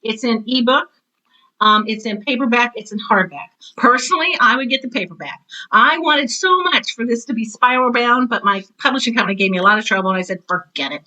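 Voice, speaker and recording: Bright-voiced, high-pitched woman, animated storyteller. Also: brisk, poor audio. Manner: animated storyteller, acts out quoted remarks. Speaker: interview guest, drama teacher and author. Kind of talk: casual enthusiastic interview chat.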